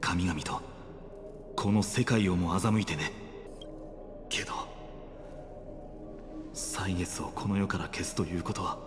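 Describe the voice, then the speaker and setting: Actor as young male game hero, low pitch, soft-spoken, sombre, reverberant, music behind. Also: moderate pace, long pauses, natural voice. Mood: sombre, hushed. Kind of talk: Japanese anime game voice acting.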